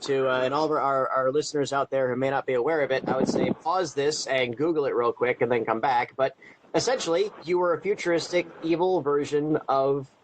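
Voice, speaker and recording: A man's voice: medium pitch, steady tone, a little fast, background noise. A man co-hosting a radio show, asking wordy, roundabout questions in a conversational interview style.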